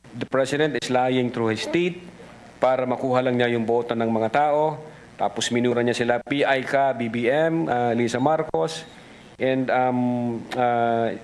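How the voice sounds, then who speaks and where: A Filipino man gives formal witness testimony in a mid-pitched voice. He is deliberate and mixes English with Tagalog, with faint noise behind him in what sounds like a broadcast hearing. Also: darkish tone, rough recording.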